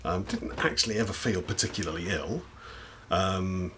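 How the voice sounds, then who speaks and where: Guy rambling to camera, bit husky, deep voice, faint hiss behind. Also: normal speed, sharp tone. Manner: chatty, rambling, self-deprecating with filler ums. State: slightly husky after lost voice. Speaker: YouTuber. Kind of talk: casual recommendation chat to camera. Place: indoors at home desk with big lights.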